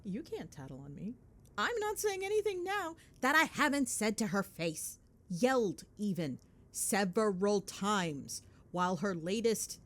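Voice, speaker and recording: A woman's voice: mid-range voice, big pitch swings, a little slow. A woman playing a chatty, gossipy girlfriend in a casual ASMR phone-call roleplay, with playful, sweary, dramatic griping. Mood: exasperated, amused. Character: chatty, gossipy girlfriend venting on the drive home.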